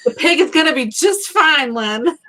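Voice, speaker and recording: A woman hosting a casual live book chat, talking like an animated, gushing fan and acting out her reactions. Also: sits high, moderate pace, poor audio. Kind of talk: casual chatty author interview.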